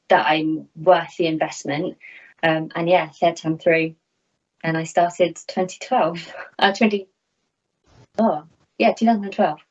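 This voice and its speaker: Low-pitched woman on a video call. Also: a little mellow. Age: 30s. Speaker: air traffic controller, interview guest. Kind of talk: conversational interview answers. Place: small home room, indoors. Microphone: video call, laptop at arm's length. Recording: slightly dull video-call audio.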